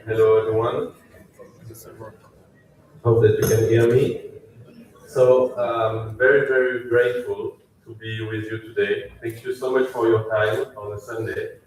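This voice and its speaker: A man with a French accent giving a polite, thankful launch speech as a company chief, pausing often with fillers. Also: fairly low pitch, level tone, a little mellow, a little hiss or hum. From France. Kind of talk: conference speech. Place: large echoey event hall. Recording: echoey hall from far off.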